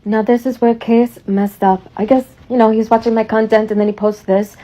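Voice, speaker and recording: A woman's voice: fairly high pitch, flat delivery, fairly quick, mellow, poor audio. A woman in her thirties with a New York accent, ranting casually in a mocking, profane way. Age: 30s. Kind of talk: casual online rant.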